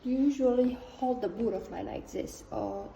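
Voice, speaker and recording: A woman's voice: a little high, normal speed, vocal fry, lo-fi sound. A woman trainee asking casual, practical questions during hands-on training. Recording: distant, echoey, noisy room.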